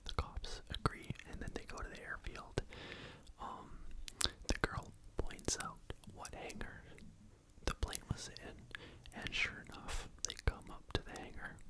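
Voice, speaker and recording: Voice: measured pace, low-quality recording. An ASMR host whispering a true crime story to the microphone in a casual, rambling way, often saying "like" and "you know.". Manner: soft unvoiced whisper throughout.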